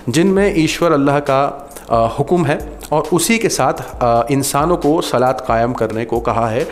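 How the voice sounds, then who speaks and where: An Indian man speaks to viewers as a video host, explaining his subject in an earnest, measured way. His voice sits at a medium pitch. There is some noise around him in the recording. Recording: clearly noisy background ambience.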